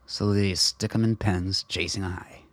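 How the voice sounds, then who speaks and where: An actor playing a calm older father on the run in an audio drama, speaking in a measured way. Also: low-pitched, no room sound, natural voice.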